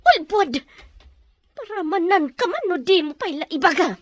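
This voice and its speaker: A woman's voice: very high-pitched, sing-song, normal speed, dry recording. A woman acting a menacing, commanding kidnapper in a radio drama, with an Ilocano Filipino accent. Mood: threatening. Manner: commanding, interrogating. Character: menacing woman kidnapper threatening her captive with a knife.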